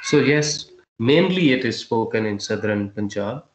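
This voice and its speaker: A male teacher giving a class lesson, quizzing his students, with a halting delivery full of fillers and restarts. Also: lowish voice, lively intonation, echoey room, a little hiss or hum, rough recording.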